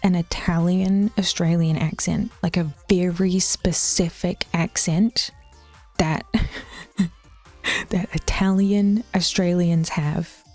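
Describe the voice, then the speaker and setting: A woman's voice: lowish voice, fairly even intonation, slow pace, darkish tone, faint music behind. A young woman with an Auckland New Zealand accent chatting casually with her stream chat, rambling, swearing and sometimes dropping into whispers.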